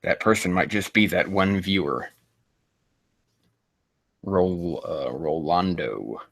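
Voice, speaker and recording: A man hosting a casual livestream, rambling and haltingly muttering to himself, with loose, crude language. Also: sits low, gravelly, no room sound. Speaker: livestream host.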